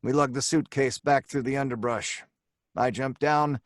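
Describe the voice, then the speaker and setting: A man's voice: mid-range voice, fairly even intonation, normal speed, dry recording, studio quality, lightly acted. An actor playing a brash, mocking crook who narrates his own tale in slangy old-time radio drama style. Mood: tense storytelling. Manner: slangy, hard-boiled tough talk.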